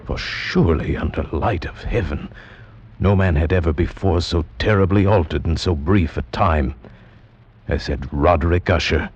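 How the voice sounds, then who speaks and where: Man playing very deep-voiced grave gothic gentleman. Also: slight background noise, natural voice. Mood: brooding dread. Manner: solemn, deliberate, theatrical. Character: grave, dread-filled gentleman narrating a gothic tale. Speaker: narrator and visiting friend. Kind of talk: old-time radio horror narration. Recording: muffled vintage radio recording with music. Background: music.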